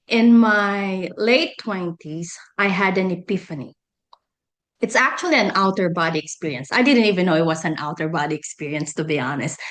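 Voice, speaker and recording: A woman's voice: mid-range voice, a bit bright. A middle-aged woman with a Filipino accent, speaking as an interview guest and telling her personal story in a conversational way. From Philippines. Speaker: podcast guest, energy healer and life coach.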